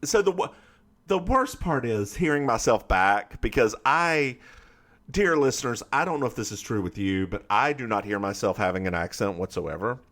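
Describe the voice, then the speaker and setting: A man with a Southern US accent co-hosting a podcast, chatting casually and recapping a film with lively storytelling. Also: medium pitch, moderate pace. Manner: animated storyteller, slips into voices while recapping. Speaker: podcast co-host. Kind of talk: casual movie recap chat.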